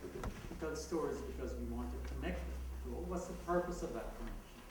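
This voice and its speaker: A man's voice: medium pitch, animated, brisk. A man with a Jamaican accent giving a conversational talk at a conference, laughing at his own asides. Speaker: conference speaker. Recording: echoey hall, mic heard from a distance, background noise.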